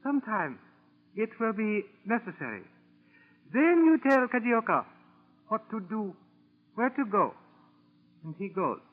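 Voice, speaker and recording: An actor playing a boastful little Japanese spy in a 1940s radio drama, with an exaggerated accent and clipped broken English. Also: male voice, high-pitched, very animated, a little slow, long pauses. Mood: ingratiating, scheming. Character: boastful, fanatical little Japanese spy posing as eager informant. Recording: dull lo-fi 1940s radio recording.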